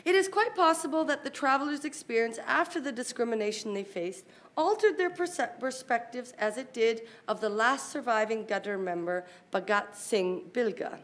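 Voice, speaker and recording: A woman with a fairly high voice presents a scholarly paper at a conference. She reads formally and in measured phrases, stepping out of the text now and then for a short explanation. She takes care to spell out terms and announce headings. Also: animated. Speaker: academic presenter reading a colleague's paper. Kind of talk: formal conference paper read aloud, with brief explanatory asides.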